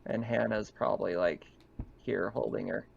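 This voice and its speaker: A man's voice: on the low side, fairly even intonation, moderate pace, creaky, slight background noise. Man running a game, dull lo-fi sound. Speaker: game master. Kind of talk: narrating a tabletop roleplaying game, casual.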